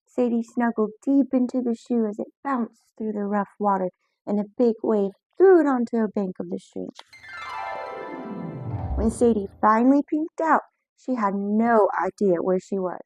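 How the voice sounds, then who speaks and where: A woman reading a children's picture book aloud, lively and expressive, with voices for the characters. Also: on the high side, moderate pace, dull audio, faint music behind. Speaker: storyteller.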